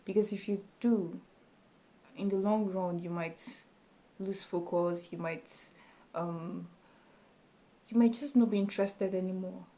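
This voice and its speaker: A woman with a small, soft voice speaks slowly to her camera, chatty and encouraging. Her tone is mellow and warm, and her casual delivery is sprinkled with fillers. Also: on the low side, steady tone. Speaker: YouTube tutorial creator. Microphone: camera in front of her.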